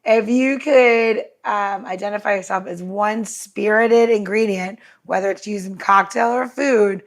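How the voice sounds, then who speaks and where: Chatty woman podcast co-host. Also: medium pitch. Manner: chatty, quick-talking. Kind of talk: casual podcast chat.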